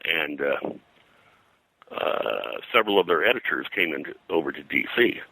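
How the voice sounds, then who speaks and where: Older male historian as interview guest: very low pitch, hard-edged bright tone, halting, detail-heavy recollection. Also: animated, gravelly, slightly rough, no room sound, low-quality recording. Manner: halting, discursive, scholarly detail. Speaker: guest, comics and pulp fiction historian. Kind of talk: interview conversation, recounting history.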